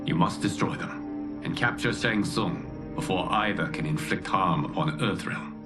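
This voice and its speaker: A benevolent god of a cinematic game world. His voice is low and grave, and he speaks in measured, formal commands. Music swells behind him. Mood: commanding. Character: grave, benevolent fire god protecting the earth realm. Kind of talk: solemn cinematic game dialogue.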